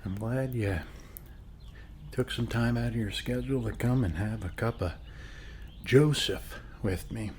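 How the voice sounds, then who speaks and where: A man talks in a friendly, soft ASMR style, as if to a neighbor sitting across from him. His pace is very slow, with plenty of pauses, and his mid-pitched voice often drops into a dry fry. A faint layer of noise sits behind him. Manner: soft-spoken, friendly, unhurried, pausing often. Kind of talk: ASMR roleplay, one-sided casual chat over coffee.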